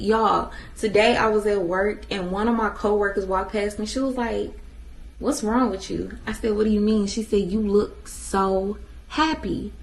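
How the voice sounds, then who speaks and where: A woman in her twenties talking to viewers in a casual motivational vlog, emphatic and animated, addressing them directly. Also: medium pitch, poor audio. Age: 20s. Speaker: vlogger.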